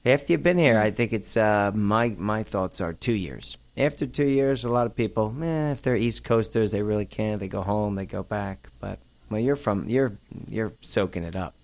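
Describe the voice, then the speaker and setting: A man hosting an interview show, chatting casually and joking with quick asides. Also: on the low side, a little mellow.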